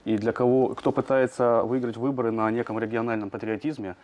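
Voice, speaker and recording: A man, a journalist, answering in a studio interview, talking quickly with many hesitations and fillers. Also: medium pitch, fairly even intonation, a little mellow. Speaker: journalist guest.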